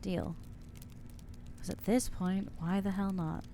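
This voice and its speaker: A woman's voice: mid-range voice, flat delivery, slow pace, warm tone, slight background noise. A woman voicing an anxious young female vampire in an ASMR audio roleplay, talking in nervous, stammering, self-deprecating rambles. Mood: flustered.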